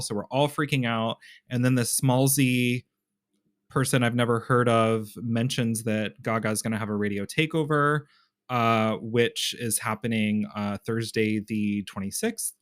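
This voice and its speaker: A man co-hosting a fan podcast, chatting in an animated, gushing way. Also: medium pitch, smooth, dry recording, clean studio sound. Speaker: podcast co-host. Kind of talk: casual chat.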